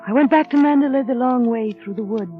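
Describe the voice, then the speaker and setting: A woman playing a sensitive, anxious young wife, narrating in a hushed literary manner in a 1930s radio drama. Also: on the high side, fast talker, natural voice. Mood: haunted, reflective. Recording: muffled old radio broadcast, music behind.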